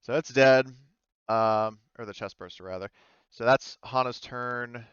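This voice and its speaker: A man with a clean, smooth voice works as game master of a tabletop roleplaying session. He talks casually and haltingly, thinking rulings out as he goes. The audio is close and dry but slightly dull. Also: mid-range voice, fairly bright tone, dry recording. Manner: hesitant, thinking aloud while ruling.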